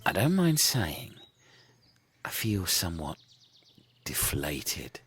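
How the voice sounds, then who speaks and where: A man voicing a gruff, sceptical castaway in a comic audio story, deadpan, sarcastic and ponderous. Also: deep voice, slow, soft background music, full character voice. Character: gruff, sceptical man named Gav among shipwrecked lads.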